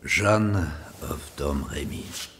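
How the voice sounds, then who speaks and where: An actor playing a contemptuous, menacing medieval nobleman in an audio drama, sneering and deliberate. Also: rumbling low, fairly bright tone, a little hiss or hum, full character voice. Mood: cold menace.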